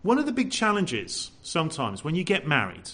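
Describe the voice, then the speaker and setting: A man preaching a sermon to a congregation, animated and full of rhetorical questions. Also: on the high side, normal speed. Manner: says he has a loud voice.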